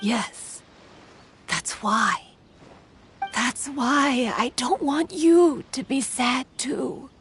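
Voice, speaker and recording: Grave yokai storyteller, slightly high, very unhurried, soft music under. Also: female voice, heavily acted. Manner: slow, solemn, melancholy telling. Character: sorrowful, wise female yokai spirit. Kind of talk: game cutscene storytelling.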